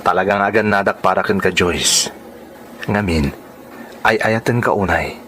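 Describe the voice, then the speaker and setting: An actor playing a devoted young suitor in a radio drama, speaking with earnest tenderness. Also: male voice, deep voice, normal speed, fairly bright tone, slight background noise. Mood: earnest, caring.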